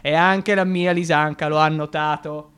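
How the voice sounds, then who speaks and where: Actor playing a high-voiced, gushing young man in love. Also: steady tone, moderate pace, fairly bright tone, clean tone, lightly acted. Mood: excited. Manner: gushing, emotional, exclamatory. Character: sickly-built, effusive young man in love, impulsive and grateful. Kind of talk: theatrical radio drama acting.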